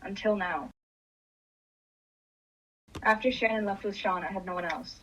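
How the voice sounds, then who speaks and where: A woman's voice: medium pitch, unhurried, a bit bright, slight background noise, close to an everyday voice, poor audio. A woman playing a bitter, desperate grieving mother in an anguished, confessional radio drama performance. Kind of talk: radio drama acting with first-person monologue.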